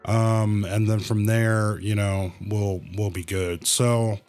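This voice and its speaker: A man with a fairly low voice gives casual gameplay commentary on a livestream. He talks slowly and haltingly, thinking aloud, with faint music behind him. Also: flat delivery, a little mellow. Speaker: livestreamer playing a video game.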